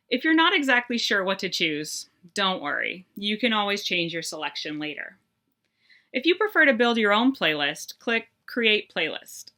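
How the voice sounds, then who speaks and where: A woman presenting a scripted tutorial in a clear, upbeat, instructional manner. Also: medium pitch, sharp tone.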